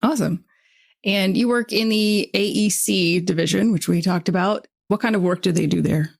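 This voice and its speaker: Woman hosting a podcast, friendly, a bit of vocal fry. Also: mid-range voice, normal speed. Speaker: podcast co-host. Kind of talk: casual chat and interviewing.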